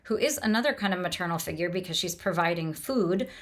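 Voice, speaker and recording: A woman's voice: lowish voice, quick pace. A woman lecturing on literature off the cuff, in an enthusiastic, discursive way that explains as it goes. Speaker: lecturer and host of a literature podcast.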